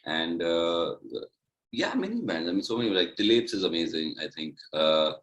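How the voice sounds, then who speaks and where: A man's voice: sits low, big pitch swings, moderate pace, a bit bright, a little hiss or hum. A man with an Indian accent chatting casually as a musician guest, rambling and leaning on filler "like". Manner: rambling, peppered with "like". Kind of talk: casual chat.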